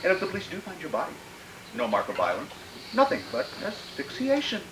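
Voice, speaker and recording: A sinister old murderer in a radio thriller, with a voice that swoops widely in pitch. He is theatrical and menacing, able to turn from gloating to frantic pleading. The sound is roomy, like a live stage, with faint noise behind. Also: wildly swooping pitch, brisk, fairly bright tone. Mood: gloating menace. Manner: menacing, theatrical, repeats phrases. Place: playhouse stage, live performance.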